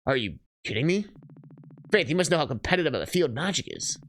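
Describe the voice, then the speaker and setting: A man's voice: a little high, very animated, fairly quick, a bit bright, slight background noise, pitched below the performer's own voice. A man voicing a self-taught young witch in a fantasy story, defensive, indignant and wounded.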